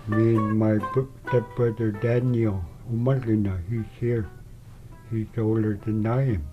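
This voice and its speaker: Older man, low voice, unhurried elder recollection over faint background music. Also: fairly even intonation. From Wales, Alaska. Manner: slow, halting, plain-spoken reminiscing. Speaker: elder interviewee. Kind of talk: oral history recollection. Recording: slightly dull recording with faint music behind.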